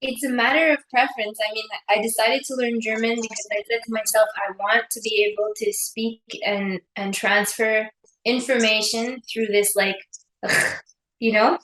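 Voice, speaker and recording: A woman's voice: on the high side, steady tone, normal speed, a bit bright, reverberant, a little hiss or hum, poor audio. A woman co-hosting a podcast, chatting casually and laughing often. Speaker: podcast co-host. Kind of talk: casual chat over wine.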